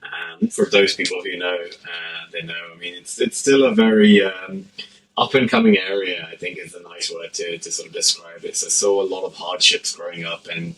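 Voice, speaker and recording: A British-accented man with a very deep voice and a rough, gravelly edge. He talks in a loose, chatty way, full of "you know" and "sort of". He is speaking as a guest on an office podcast. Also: animated, moderate pace, a bit bright, poor audio. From born in India, raised in the UK. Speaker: podcast guest, venture fund founder. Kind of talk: casual interview conversation.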